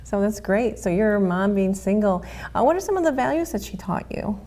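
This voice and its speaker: A woman's voice: medium pitch, animated, moderate pace, dark tone. An American woman who grew up speaking Spanish, hosting a conversational interview in an agreeable, affirming manner. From United States. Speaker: interview host.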